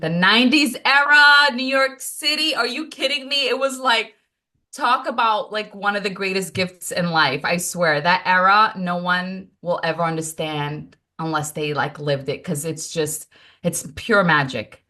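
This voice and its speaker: A woman from Morocco whose first language is Moroccan Arabic, telling a family story as a podcast co-host in an animated, emphatic way with frequent laughs. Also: lowish voice, a little slow. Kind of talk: casual storytelling chat.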